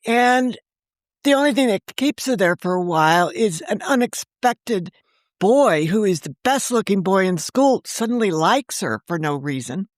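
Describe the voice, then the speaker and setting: A woman in her sixties, a novelist guest on a remote interview, talking in a chatty, animated way and often jumping in over the host. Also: mid-range voice, normal speed, no room sound, studio quality. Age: 60s. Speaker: novelist and podcast creator, guest. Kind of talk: casual interview chat.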